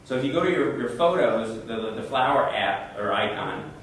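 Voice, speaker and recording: A man's voice: mid-range voice, lively intonation, moderate pace, a bit bright. A man presenting tech tips at a user group meeting, talking conversationally and answering questions, often repeating words as he goes. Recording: echoey room, mic far off.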